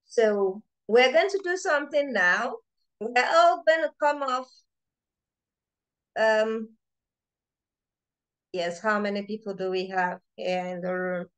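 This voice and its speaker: A woman hosting an online lecture over a video call, chatty and excitable, often repeating words as she starts sentences. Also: mid-range voice, slow, pauses often, low-quality recording. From Nigeria. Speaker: lecture chair and chief speaker.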